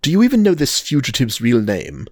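A man voicing a reserved, skeptical young veteran with a low, curt manner. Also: wildly swooping pitch, brisk, a little mellow, no echo, studio quality.

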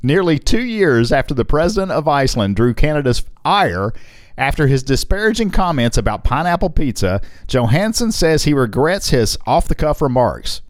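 Man, joking podcast co-host, lively up-and-down pitch, dry close sound. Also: dry recording. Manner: jokey, quick asides and laughs. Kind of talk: casual chat, reading headlines with jokes.

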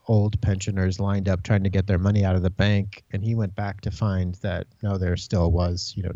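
A man's voice: low voice, vocal fry, dark tone, no echo, lo-fi sound. A man co-hosting a podcast in casual chat, rambling and hesitant with fillers. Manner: rambling, hesitant, uh between phrases. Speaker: podcast co-host.

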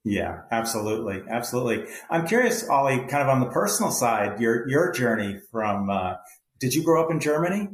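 A man's voice: mid-range voice, very animated, brisk, echoey room. A man hosting an interview, asking questions and affirming answers in an upbeat way. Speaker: podcast host.

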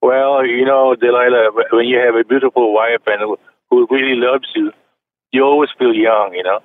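A man's voice: mid-range voice, fairly even intonation, moderate pace, slight background noise. A man in his late seventies from China, calling in to a radio show and chatting with many fillers and restarts. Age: 70s. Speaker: caller. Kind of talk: phone-in chat. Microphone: telephone. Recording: tinny phone line.

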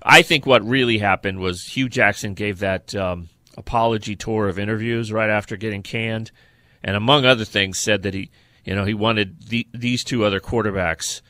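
A man's voice: fairly low pitch, fairly even intonation, moderate pace, bright, forceful tone, no echo. A man co-hosting a sports podcast, giving blunt, opinionated takes on football in a conversational style.

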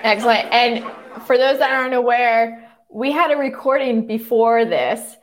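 A woman's voice: fairly high pitch, moderate pace, a faint crowd, poor audio. A woman hosting a casual live interview, upbeat as she asks questions and wraps up. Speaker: host.

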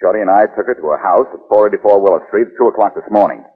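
A man's voice: on the low side, rapid-fire, husky. A man playing a cool, wisecracking private detective in a 1940s radio drama, terse and wry with a commanding way of talking. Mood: exasperated. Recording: dull, muffled 1940s radio recording.

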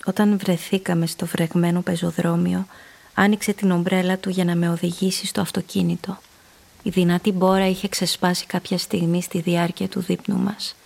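An actress playing a calculating seductress who narrates her own story in a radio drama, measured and intimate. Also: lowish voice, level tone, vocal fry, a little mellow. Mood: watchful, calculating.

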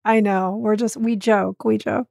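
A woman's voice: mid-range voice, fairly even intonation, measured pace, gravelly, a crowd in the background. A woman co-hosting a podcast who chimes in lightly with agreeable jokes. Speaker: podcast co-host. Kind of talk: casual interview chat.

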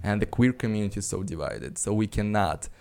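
A man's voice: fairly low pitch, fairly quick, vocal fry, clear, resonant tone. Man giving candid opinions. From Russia. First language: Russian. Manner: candid, emphatic opinions with lively mock quotes. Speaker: guest. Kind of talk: casual podcast conversation.

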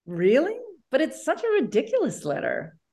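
A woman's voice: high voice, wildly swooping pitch, moderate pace, a little mellow. A woman co-hosting a podcast in an upbeat style of presenting and chat. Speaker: podcast co-host.

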